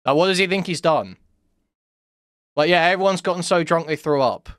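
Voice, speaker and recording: A man's voice: a little high, moderate pace, a bit bright, no room sound, studio quality. A man in his thirties, a streamer, talking in a sarcastic, mocking way while reacting to a clip. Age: 30s. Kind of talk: casual livestream commentary.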